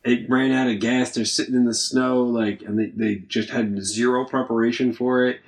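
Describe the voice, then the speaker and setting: A young man chatting casually, rambling with many ums and uhs and trailing off quietly. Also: medium pitch, little pitch movement, normal speed. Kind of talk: casual podcast chat.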